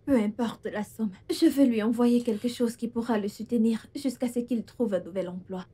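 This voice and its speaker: An actress playing a lovestruck, stubborn young daughter in a drama, with a soft, slightly husky voice. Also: female voice, a little high, moderate pace. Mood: pleading. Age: young adult. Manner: pleading. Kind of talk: dramatic film dialogue in French.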